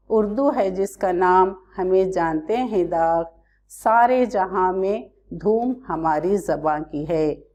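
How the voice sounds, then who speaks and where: A woman's voice: lowish voice, a little mellow. A woman teacher from India giving an online class, asking pupils questions and explaining carefully.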